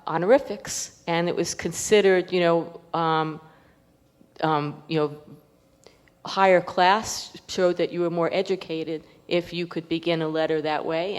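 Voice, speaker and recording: A woman with a fairly low voice speaks as a researcher at a panel event. She explains in a scholarly, hesitant manner, and the hall adds long reverb around her. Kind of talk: answering audience questions, scholarly talk. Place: large indoor event hall.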